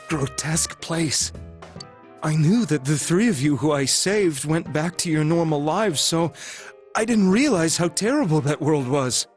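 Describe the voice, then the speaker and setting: An actor playing an earnest, guilt-ridden delivery man in a video game, speaking in a halting, solemn, confessional way. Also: fairly high pitch, close to an everyday voice. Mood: remorseful. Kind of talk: dramatic video game voice acting. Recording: music behind the voice.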